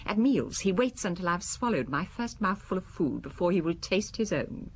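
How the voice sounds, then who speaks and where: A woman's voice: fairly low pitch, big pitch swings, moderate pace, husky, heavily acted, rough recording. An actress playing a cool, proud married businesswoman in a radio drama, composed, articulate and faintly contemptuous. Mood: scornful. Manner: composed, haughty, articulate, defensive.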